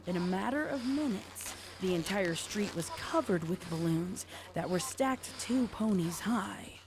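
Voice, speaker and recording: A woman's voice: mid-range voice, lively intonation, a little slow. A woman reading scripted story narration as the narrator of an audio tale.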